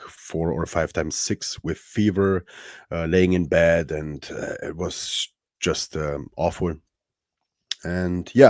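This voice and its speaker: Deep-voiced Romanian man, halting speech. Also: a little mellow, no room sound, studio quality. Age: 30s. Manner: thoughtful, halting, many fillers and restarts. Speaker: podcast guest, strongman athlete. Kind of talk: casual interview chat.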